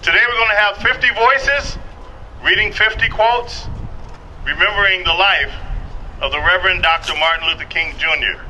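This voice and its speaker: A man's voice: high-pitched, moderate pace, bright, forceful tone, rough recording. A man speaking formally as host, opening a public ceremony at a microphone. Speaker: event host. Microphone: event microphone. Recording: faint noise, outdoor public microphone.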